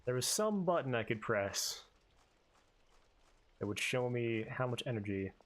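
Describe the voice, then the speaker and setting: A man's voice: mid-range voice, big pitch swings, a little slow, fairly bright tone. A man casually commentating his gameplay, cursing and rambling with self-mocking jokes. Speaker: let's player.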